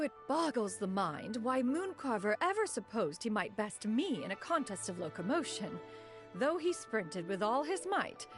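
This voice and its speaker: A woman voicing an ancient, proud female crane spirit in a game cutscene, speaking in an archaic, formal way and calling herself "one". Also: mid-range voice. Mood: grand.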